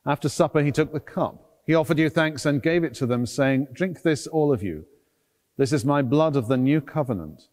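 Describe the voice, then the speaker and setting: A middle-aged man, a priest, reciting solemn liturgical prayer in measured, deliberate phrases inside a large church. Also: a little high, lively intonation, a little mellow. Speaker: priest, celebrant at a church service. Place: large stone cathedral, at the altar. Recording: long reverb of a large church.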